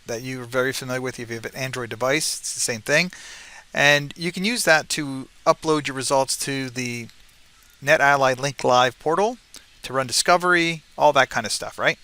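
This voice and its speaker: A man's voice: medium pitch, bright, forceful tone. A man giving an informal, conversational technical tutorial as a presenter, often checking in with the listener as he explains. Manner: conversational, frequently checks with "right?".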